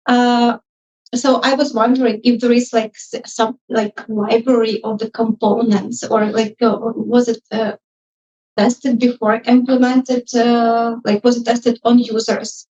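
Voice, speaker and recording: A woman with a fairly high, rather even voice speaks English as a second language. She is hesitant and apologetic as she offers informal feedback. She sounds distant from the microphone. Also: slow. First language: not English. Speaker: audience member, junior UX designer. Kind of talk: informal spoken feedback and questions. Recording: muffled live event audio, distant mic.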